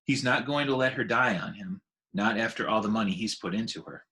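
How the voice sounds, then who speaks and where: A man's voice: fairly low pitch, a little fast, bright, forceful tone, low-quality recording. A man voicing a tired working father, reluctant but kindly and reassuring, in a storybook reading.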